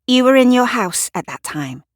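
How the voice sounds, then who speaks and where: A woman voicing a brisk, steely policewoman in an audio drama, questioning a witness directly. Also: fairly high pitch, sing-song, dry recording, studio quality, pitched above the performer's own voice. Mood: brisk, probing.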